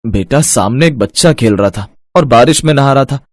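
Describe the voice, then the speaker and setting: A man playing a kind, wise middle-aged village father who drives an auto, speaking gently and reassuringly in a cartoon story. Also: mid-range voice, lively intonation, normal speed, no room sound, clean studio sound, lightly acted. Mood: gentle, concerned.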